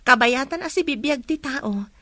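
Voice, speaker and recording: A woman playing a gentle, hopeful young woman in love, speaking softly and earnestly in a radio drama. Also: high voice, animated, moderate pace. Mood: tender.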